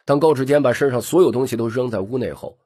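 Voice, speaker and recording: A man's voice: medium pitch, fast talker, dark tone, breathy edge, no echo, studio quality. A man narrating a scripted, dramatic audiobook story.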